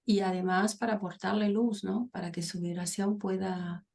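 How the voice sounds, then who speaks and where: A middle-aged woman from Argentina talks slowly and thoughtfully, stopping often to find her words. Her voice has a gravelly, creaky edge that sits at an ordinary pitch for a woman. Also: little pitch movement, a little mellow. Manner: thoughtful, pausing with many fillers and restarts. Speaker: guest psychologist and author. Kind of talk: conversational interview.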